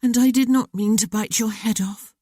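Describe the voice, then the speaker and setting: A woman doing a candid, earnest young woman, voice raised high. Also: moderate pace, no echo, clean studio sound, close to an everyday voice. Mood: earnest, wistful. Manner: lively, talkative, candid. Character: outspoken young woman, sensitive, earnest sister.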